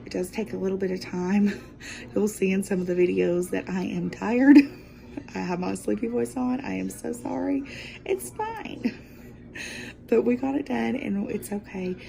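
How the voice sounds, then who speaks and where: A woman's voice: medium pitch, unhurried, creaky, dark tone. A woman talking casually as a guest vlogger, rambling and upbeat with a self-deprecating manner. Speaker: guest vlogger taking over a sister's channel. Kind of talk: casual chatty vlog talk.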